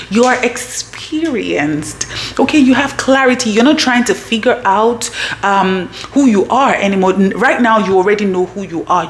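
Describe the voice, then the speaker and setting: A woman's voice: mid-range voice, a little hiss or hum. Emphatic woman coaching to camera. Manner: emphatic, repeats "okay?" for emphasis. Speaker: business coach and channel host. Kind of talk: motivational talk to camera.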